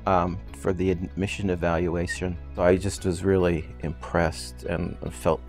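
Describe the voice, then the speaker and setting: A man's voice: sits low, little pitch movement, a little slow, a little mellow. A man giving a personal testimonial as a client, in an interview style. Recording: music playing under the voice.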